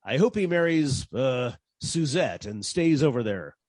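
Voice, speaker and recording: A wisecracking private detective acted by a man in the manner of 1940s radio drama. He tells his case wryly, with very animated intonation. The sound is dry and close. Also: mid-range voice, unhurried, no room sound. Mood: wry narration. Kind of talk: old-time radio detective narration and dialogue.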